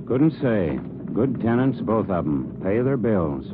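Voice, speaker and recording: An actor playing a tight-lipped, penny-pinching hotel manager, laconic and grudging, in a hissy, muffled 1940s radio drama. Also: male voice, lowish voice, sing-song, measured pace. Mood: guarded. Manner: laconic, grudging, drops subjects from sentences.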